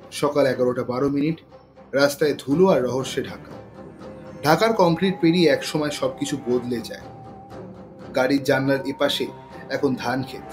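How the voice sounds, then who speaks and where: Narrator: man, mid-range voice, dramatic suspense storytelling with music bed. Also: mid-range voice. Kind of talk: scripted dramatic thriller narration.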